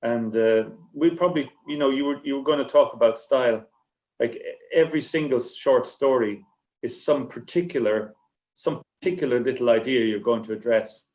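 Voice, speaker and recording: A man answering as a writer on a literary panel, speaking haltingly with many repeated words and restarts. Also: mid-range voice, darkish tone. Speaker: short story writer on a festival panel. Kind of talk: rambling panel discussion answer. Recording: echoey, roomy sound.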